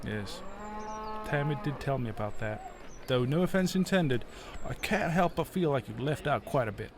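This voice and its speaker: A man's voice: mid-range voice, expressive, normal speed, background noise, lightly acted. A man playing a courteous, folksy wasteland mayor in an audio drama, polite and conciliatory. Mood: probing. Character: genial, courteous small-town male wasteland mayor.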